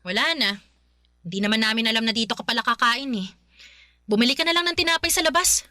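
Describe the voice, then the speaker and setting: A woman playing an irritable, sharp-tongued young wife and mother in a Filipino radio drama, snappy and nagging. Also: a little high, fast, no room sound, clean studio sound. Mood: curt. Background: faint music.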